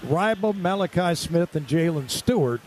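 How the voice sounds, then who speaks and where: A man's voice: on the high side, lively intonation, normal speed, darkish tone, a little hiss or hum, rough recording. A man doing live radio-style basketball play-by-play in clipped, vivid running descriptions.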